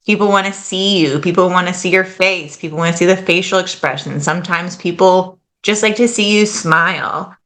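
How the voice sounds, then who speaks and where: A woman in her late twenties chatting as a podcast guest, her voice slightly low-pitched yet very bright and forward. She talks loosely and casually, scattering "like" and "kind of" through her sentences. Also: moderate pace, few pauses. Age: late 20s. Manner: chatty, fillers like "like" and "kind of", laughs easily. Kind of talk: casual interview chat.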